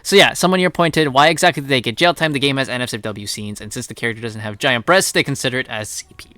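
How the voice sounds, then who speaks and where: Male online commentary host: indignant, opinionated, sharp-edged voice, off the cuff, dry sound. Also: mid-range voice, quick pace, no room sound. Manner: indignant, blunt, swearing emphatically. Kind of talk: unscripted opinionated news commentary.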